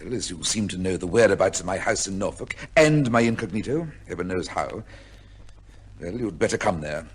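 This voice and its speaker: Radio drama judge: husky male, lowish pitch, formal and severe, sweeping intonation. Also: animated. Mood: rattled, stern. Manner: formal, measured, pompous. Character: proud, severe English high court judge with a secret life. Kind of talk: old-time radio drama acting, with first-person narration.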